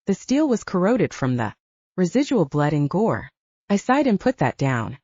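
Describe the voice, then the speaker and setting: A woman's voice: on the low side, very animated, normal speed, darkish tone, dry recording, highs cut off. A woman reading first-person fiction as a scripted audiobook narration.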